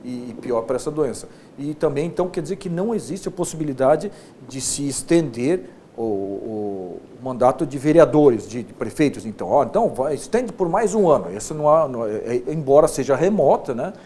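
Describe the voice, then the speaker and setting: Male interviewer, Brazilian Portuguese accent, dark relaxed tone, halting and repetitive, faint rumble behind. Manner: halting, repetitive phrasing with frequent checking tags. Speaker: interview host, state deputy. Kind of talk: casual interview questioning. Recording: faint rumbling background noise.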